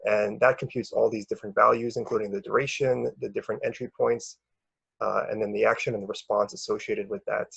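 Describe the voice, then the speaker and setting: Gravelly, husky man giving a research talk. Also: fairly low pitch, level tone, fairly quick, fairly bright tone, no room sound, muffled recording. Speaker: researcher presenting a paper. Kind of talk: academic conference presentation.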